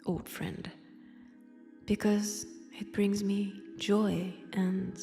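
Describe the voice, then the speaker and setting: A woman with a French accent voicing an ancient, teasing vampire who poses as a nun, in a hushed, intimate roleplay drama. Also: mid-range voice, creaky. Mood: confiding, seductive. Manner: slow, teasing, hushed, dropping into whispers. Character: ancient, powerful female vampire posing as nun, teasing, sardonic. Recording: long church-like reverb.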